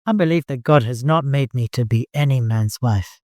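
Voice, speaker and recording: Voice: mid-range voice, moderate pace, dry recording, natural voice. A fierce, proud young woman in an audiobook story, put on by the narrator. She is defiant and speaks boldly, insisting on her way. The recording is dry. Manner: bold, forceful, insistent.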